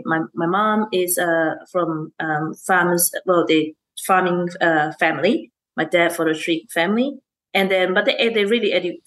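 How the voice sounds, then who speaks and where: A woman's voice: lowish voice, fairly bright tone. A woman, a teacher answering interview questions in a hesitant way, with many fillers and restarts and frequent laughs. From Malaysia. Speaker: STEM teacher and councillor.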